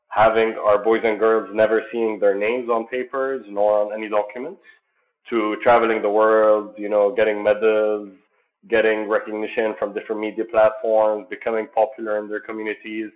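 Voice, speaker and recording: Man, from Jordan, low voice, earnest, measured, interview answers, phone-like sound. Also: level tone. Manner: earnest, measured, many fillers. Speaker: sports program founder. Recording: tinny phone-like line.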